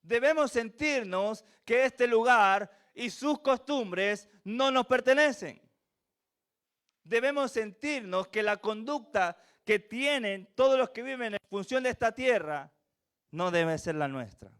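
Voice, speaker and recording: A man's voice: very high voice, animated, takes it slowly, a bit bright, smooth. A man from Venezuela with a Venezuelan accent touched by Chilean, preaching a sermon in a church with earnest repetition and rhetorical questions. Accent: Venezuelan with a slight Chilean tinge.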